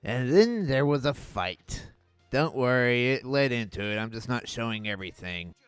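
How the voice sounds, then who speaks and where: Young man, sarcastic cartoon reviewer, smooth clear voice, lively intonation, faint music behind. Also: mid-range voice, normal speed, no room sound. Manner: mocking, wry, deadpan jabs.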